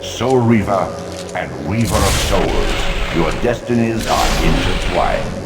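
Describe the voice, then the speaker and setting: An actor voicing an ancient, omniscient god in a video game, solemn, commanding and oracular. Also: male voice, low voice, sing-song, slow pace, gravelly, heavily acted. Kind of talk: portentous video game cutscene acting. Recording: echoing voice under loud music.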